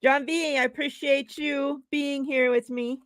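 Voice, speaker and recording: A woman's voice: high-pitched, fairly even intonation, a little slow, dry recording. A woman hosting a casual sports podcast livestream, who laughs often and draws out her words while running giveaways. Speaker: podcast host. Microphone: remote livestream call.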